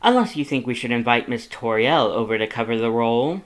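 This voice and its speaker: A man voicing a loud, fussy skeleton who is the younger brother, scolding emphatically in a fan fiction audiobook reading. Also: medium pitch, a little fast, close to an everyday voice. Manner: scolding, emphatic, rising indignation.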